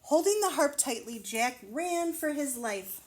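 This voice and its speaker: A woman with a fairly high voice reads a children's story aloud. She is expressive with the text and adds simple asides, wondering aloud and explaining things to young listeners. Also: a little slow.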